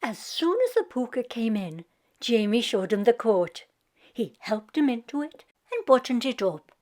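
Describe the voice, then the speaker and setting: Lady reading a kids book out loud, expressive, voice a bit on the high side. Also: measured pace. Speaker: read-aloud storyteller for children. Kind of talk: expressive scripted storybook narration.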